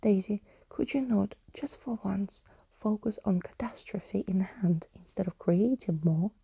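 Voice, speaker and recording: A woman's voice: mid-range voice, measured pace, creaky, mellow, slightly rough, dry recording, full character voice. A woman voicing a weary, scolding skeleton from a storybook, in a reproachful, wordy whisper. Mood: exasperated.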